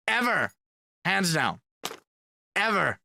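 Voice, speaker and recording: A man's voice: sits high, very animated, slow, gravelly, bright, forceful tone, a little hiss or hum. A man chatting casually on a live stream, reading fan questions aloud and answering them, repeating words for emphasis. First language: Finnish. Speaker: band member streaming to fans.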